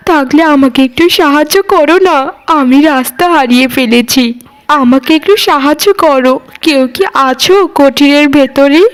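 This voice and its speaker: Woman acting an innocent, high-voiced youngest sister. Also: normal speed, natural voice. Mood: frightened, pleading.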